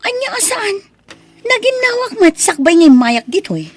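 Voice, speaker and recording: A woman with an Ilocano accent playing a sharp-tongued, headstrong young wife in an audio drama. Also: very high-pitched, normal speed. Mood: complaining. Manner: expressive, complaining.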